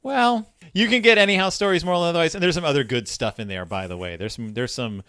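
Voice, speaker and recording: A man co-hosting a casual book discussion podcast, talking in a halting, rambling way that often interrupts itself. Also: a little high, pushed and bright, no room sound, clean studio sound. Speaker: podcast co-host.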